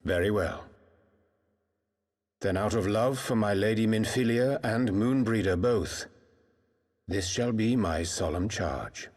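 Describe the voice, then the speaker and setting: An actor playing a solemn, penitent scholar in a fantasy game, speaking gravely in archaic English. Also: male voice, fairly low pitch, slow pace, long pauses, darkish tone. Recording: long hall reverb.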